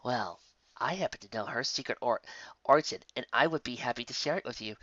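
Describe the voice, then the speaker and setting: A casually smug unicorn mare, acted out by a story narrator, flirtatious and sly. The lines are teased out softly with lively inflection in a fan fiction reading, on a slightly muffled recording. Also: mid-range voice, fairly quick, no echo. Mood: sly, teasing. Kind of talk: fan fiction character dialogue.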